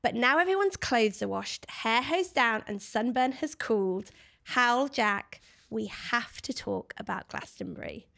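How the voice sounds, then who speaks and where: Bubbly woman host with vocal fry. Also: on the high side, expressive, pushed and bright. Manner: bubbly, gossipy, quick questions. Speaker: podcast host. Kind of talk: casual showbiz chat.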